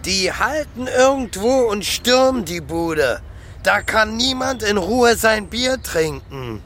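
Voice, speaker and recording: A man's voice: high voice, lively intonation, takes it slowly, a bit bright, full character voice. An actor playing a tipsy, cynical male neighbour in a radio drama, blunt and given to grumbling philosophy. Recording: clear background noise, outdoor ambience.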